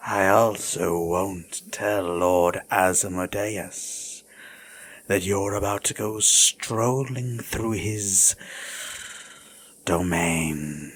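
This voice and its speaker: Ancient regal serpentine demon king, low and extremely unhurried, breathy, leering menace for fantasy audio drama. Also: a bit bright, full character voice. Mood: teasing, bargaining. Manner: slow, drawn-out, breathy, menacingly teasing. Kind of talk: fantasy audio roleplay acting.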